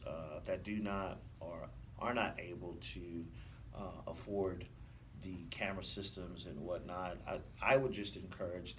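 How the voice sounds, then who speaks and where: A man speaking as a police officer guest in an interview, explaining things in a hesitant, pausing way. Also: low-pitched. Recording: distant mic, faint background noise.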